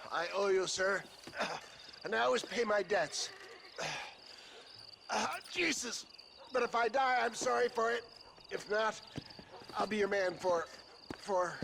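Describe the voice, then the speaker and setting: An actor playing a wounded, grandiloquent Old West swindler, florid and self-pitying, in a video game. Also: male voice, high-pitched, a little slow, vocal fry, pauses often. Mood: pained, gasping. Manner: florid, melodramatic, theatrical. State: groaning in pain. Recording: noisy outdoor game ambience behind.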